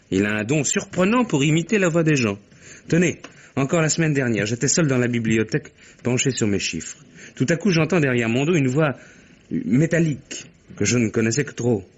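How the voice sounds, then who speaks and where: An actor playing a brisk, self-assured private secretary, talkative and frank, in a mid-century French radio mystery. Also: male voice, medium pitch, husky, highs cut off. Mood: frank, animated.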